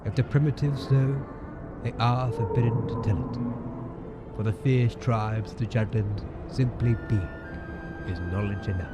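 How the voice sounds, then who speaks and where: A man's voice: medium pitch, steady tone, lo-fi sound, close to an everyday voice. A man playing a grave narrator of a field report from an alien desert, with solemn, ornate, dramatic delivery. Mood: solemn, ominous. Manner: solemn, ornate, deliberate phrasing. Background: loud music and effects.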